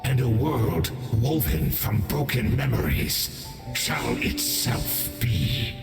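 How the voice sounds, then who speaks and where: An actor playing a grandiose, scheming monstrous herald in a video game, speaking in a solemn, formal, declamatory way. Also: male voice, medium pitch, animated, slow, creaky. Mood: solemn, regretful. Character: grandiose, scheming monstrous herald villain serving a royal master. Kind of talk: theatrical video game villain acting. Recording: long echoing reverb over background music.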